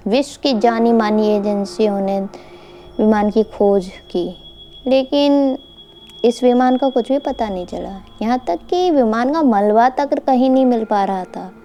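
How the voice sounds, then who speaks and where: A woman's voice: a little high, unhurried, dark tone, dull audio. A woman narrating a mystery story for an online channel, informally addressing her listeners as host. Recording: faint background noise.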